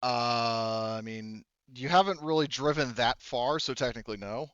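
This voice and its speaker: Smooth-voiced man running a tabletop game, dry sound. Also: mid-range voice, normal speed, a bit bright, dry recording, highs cut off. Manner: informal, swears casually. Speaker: game master. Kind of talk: casual tabletop roleplaying game refereeing.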